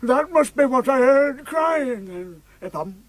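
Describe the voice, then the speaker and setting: An actor playing a bewildered elderly uncle, speaking slowly in a drawn-out way. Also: high-pitched, lively intonation, dry recording. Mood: puzzled. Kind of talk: radio drama acting.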